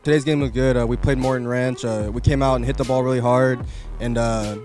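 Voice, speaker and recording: A teenage boy pitcher talking casually about a game in an interview. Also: fairly even intonation, a little fast, a little mellow, faint music behind. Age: teens. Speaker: baseball pitcher.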